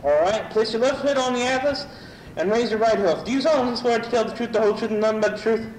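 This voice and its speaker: A man playing a solemn court bailiff in an amateur radio comedy, loudly declaiming. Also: extremely high pitch, fast talker. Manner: loud declaiming. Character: loud, solemn court bailiff swearing in witnesses. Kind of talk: amateur old-time radio comedy acting. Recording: echoey, slightly noisy room.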